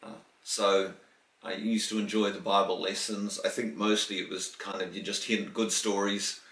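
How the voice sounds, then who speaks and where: A New Zealand man in his late fifties talks through his past in a loose, chatty way, often restarting his sentences. His voice sits fairly low. He is recorded in an echoey room a little away from the mic. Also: level tone, moderate pace, fairly bright tone, distant mic. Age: 50s. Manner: rambling, with restarts and chuckles. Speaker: interviewee. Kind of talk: casual interview answers. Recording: roomy, voice from the mic.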